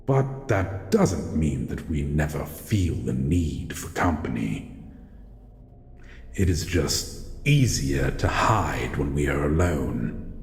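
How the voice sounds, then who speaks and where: A man voicing a solitary shadow monster in an audio roleplay, guarded but courteous, speaking in a formal, measured way. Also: extremely low pitch, gravelly, darkish tone, exaggerated voice. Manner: slow, formal, measured, calls listener human. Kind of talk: scripted audio roleplay addressed to the listener. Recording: echoey, long reverb, faint noise.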